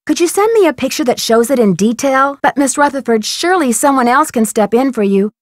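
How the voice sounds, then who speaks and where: A woman's voice: high-pitched, expressive, fairly quick, no room sound, studio quality. A woman playing a polite, eager young amateur detective in a video game, courteous and earnest, with neat enunciation. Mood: earnest, persuasive.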